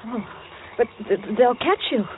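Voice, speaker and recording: Casting: scared young waitress, high tense voice, halting delivery, vintage radio suspense on a narrow old recording. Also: female voice, expressive, slight background noise. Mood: fearful, careful. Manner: tense, halting, dramatic narration. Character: frightened young waitress held by a killer, recounting it. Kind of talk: old-time radio suspense drama acting. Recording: thin band-limited old radio recording, music behind.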